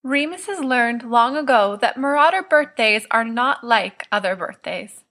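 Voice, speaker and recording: A woman narrates a story in a bright, crisp-edged tone. Her voice sits a little high, and she reads from a script as an audiobook narrator. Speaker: audiobook reader.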